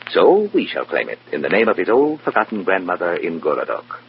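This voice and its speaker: Stern, gravelly Communist boss, low-pitched. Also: male voice, huge pitch swings, a little fast, heavily acted. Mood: cold, authoritative. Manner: clipped, formal, imperious. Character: stern, contemptuous Communist Party boss giving orders. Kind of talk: old-time radio drama acting. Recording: dull, noisy, humming old radio recording.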